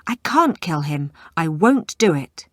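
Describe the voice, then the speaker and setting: A woman's voice: deep voice, very animated, measured pace, fairly bright tone, no room sound, studio quality, heavily acted. A woman voicing a storybook warrior, a man who tries to sound brave but is fearful and hesitant. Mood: reluctant. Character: male warrior general, outwardly brave, inwardly fearful.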